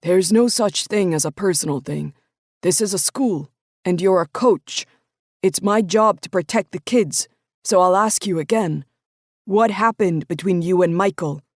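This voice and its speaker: A woman's voice: on the low side, moderate pace, dark tone, no echo, studio quality, close to an everyday voice. Woman doing a firm, stern male football coach. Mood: firm, pressing. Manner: firm, pressing, authoritative. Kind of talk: audiobook character voice.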